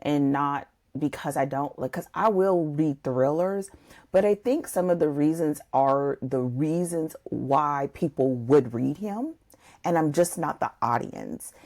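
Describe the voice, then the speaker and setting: A woman's voice: sits low, expressive, unhurried, darkish tone. A woman co-hosting a podcast, discussing a book conversationally and thinking aloud in a discursive way. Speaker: podcast co-host.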